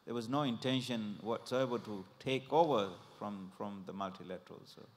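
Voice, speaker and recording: A man in the audience asks the panel a long question, stopping often and filling gaps as he searches for words. His voice sits fairly low, and a long hall reverb surrounds it. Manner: halting, many fillers, searching for words. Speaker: audience member asking a question. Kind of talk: question from the floor. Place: conference hall.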